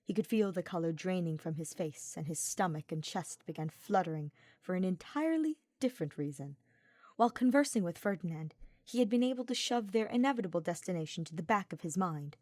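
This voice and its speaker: A woman's voice: on the low side, animated, a little fast, darkish tone, no room sound, clean studio sound. A woman reading scripted fiction aloud as an audiobook-style narrator. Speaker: podfic narrator.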